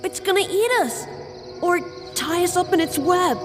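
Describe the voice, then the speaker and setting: Timid ghost boy for a children's audio story, played by an actor: high, plaintive, childlike, drenched in reverb, music underneath. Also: moderate pace. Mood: frightened.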